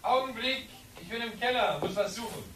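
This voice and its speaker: A man's voice: very high voice, brisk, pushed and bright, a little hiss or hum. A man playing a preoccupied husband calling out from a distance in a radio drama.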